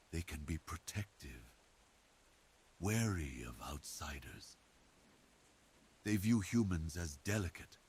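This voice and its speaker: An exceptionally deep, slow-speaking male werewolf boyfriend, acted by a man in an ASMR roleplay. He is devoted and protective, choosing his words with earnest, reassuring care. Faint noise sits behind the intimate monologue.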